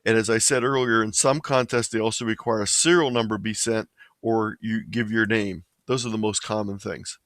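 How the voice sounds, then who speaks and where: A man's voice: on the low side, steady tone, normal speed, fairly bright tone, no echo. A man explaining things informally as a webinar presenter. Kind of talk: informal instructional webinar talk. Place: indoors, online webinar.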